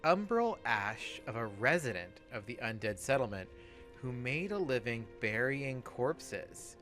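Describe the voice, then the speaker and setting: A man's voice: medium pitch, sing-song, unhurried, fairly bright tone, soft background music. A man hosting a let's play, giving casual gaming commentary.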